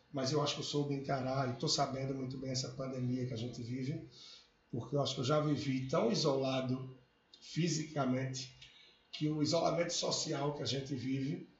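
A man's voice: medium pitch, a little hiss or hum. A man in casual conversation, talking reflectively and with animation about family, hobbies and life. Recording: muffled echoey room, faint ambience.

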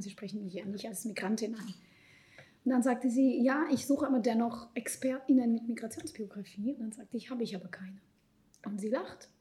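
Thoughtful middle-aged woman with a mellow voice. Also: fairly high pitch. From born in Ukraine, raised in Israel. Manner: thoughtful, hesitant with frequent fillers and soft trailing asides. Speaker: psychologist and panel guest. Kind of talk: panel discussion.

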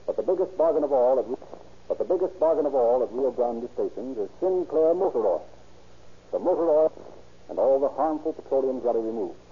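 Emphatic 1930s radio announcer, a man. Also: medium pitch. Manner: formal, emphatic, declamatory delivery. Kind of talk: 1930s radio announcing, scripted narration and sponsor pitch. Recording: noisy, muffled 1930s radio recording.

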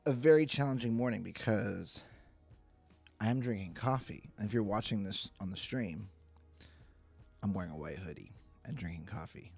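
A man with a hoarse, stuffy voice, giving a casual livestreamed Bible teaching in a chatty, self-deprecating way. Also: on the low side, moderate pace, long pauses, a little mellow, faint music behind. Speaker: pastor.

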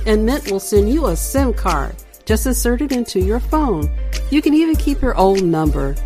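A woman's voice: medium pitch, a little mellow. A woman narrating a scripted advertisement. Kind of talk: scripted commercial read. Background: faint music.

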